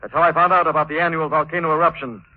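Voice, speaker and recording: An actor playing an assured crime-fighting gentleman hero in 1940s radio drama style, speaking in a measured, explanatory way. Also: fairly high pitch, steady tone, no echo, natural voice. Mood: confident, probing. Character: assured male crime-fighting hero, wealthy man about town. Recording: tinny, narrow 1940s radio recording.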